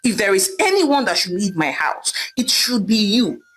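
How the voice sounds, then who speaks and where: A woman voicing a wronged husband who shouts angrily at his wife. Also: medium pitch, sing-song, normal speed. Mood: angry. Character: wronged husband, fed up and fuming.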